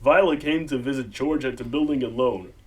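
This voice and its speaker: A man acting as a calm storyteller narrating a radio play. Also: mid-range voice, brisk, close to an everyday voice. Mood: grave. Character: calm storyteller recounting events to a listener.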